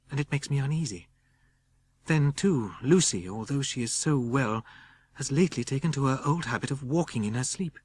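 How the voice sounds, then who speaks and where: A man voicing an anxious young Englishwoman confiding in her journal, in a careful, gentle, worried audiobook reading. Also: medium pitch, close to an everyday voice.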